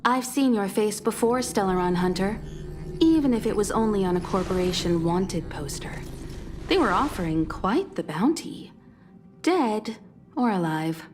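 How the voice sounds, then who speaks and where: An actress playing a poised, firm and sardonic crew leader in a video game, speaking formally and dryly. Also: female voice, medium pitch, animated, a little mellow, lightly acted. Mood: wary, cool. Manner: formal, measured, dryly stern. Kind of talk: English video game voice acting. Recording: background music under the voice.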